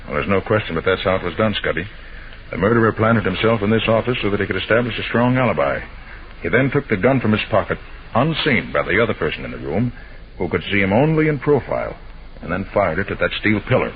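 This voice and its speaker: A man playing a confident, sharp-minded master detective in an old-time radio mystery, explaining and questioning in an assured, methodical way. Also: fairly low pitch, very animated, fast. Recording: dull, noisy old radio broadcast recording.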